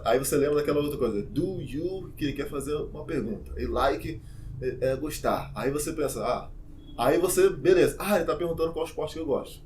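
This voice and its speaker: A man's voice: mid-range voice, slight background noise. A young man, teaching English in a casual, informal vlog full of fillers and asides. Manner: lively, addresses viewers directly.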